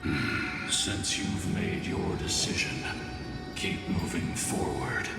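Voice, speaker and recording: An actor voicing a grave, imposing mentor in a video game, speaking solemnly and sparingly. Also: male voice, extremely low pitch, expressive, creaky, heavily acted. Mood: solemn command. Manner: slow, solemn, sparing with words. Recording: echoey game voice under loud music.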